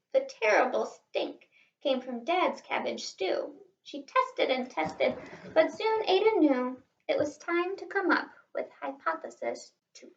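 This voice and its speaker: A woman reading a children's picture book aloud in an expressive, animated way, like a teacher stressing the rhymes. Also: sits high, creaky. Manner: animated, asks listeners questions, stresses rhymes. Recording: roomy, slightly distant, dull sound.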